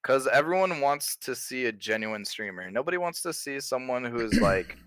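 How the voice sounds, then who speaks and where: A man being interviewed as a streamer, speaking in a deep voice and chatting loosely with lots of "like". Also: animated, normal speed, a bit bright, dry recording. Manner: laid-back, loose, leans on "like" a lot. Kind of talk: casual interview chat.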